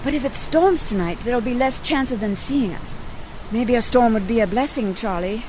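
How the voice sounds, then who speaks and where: A woman's voice: fairly high pitch, normal speed, darkish tone, slight background noise, close to an everyday voice, low-quality recording. An actress playing a prim, plucky and devoted genteel lady in a radio play, speaking in a proper, earnest way. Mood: tender, resolute. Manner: proper, polite, earnest diction.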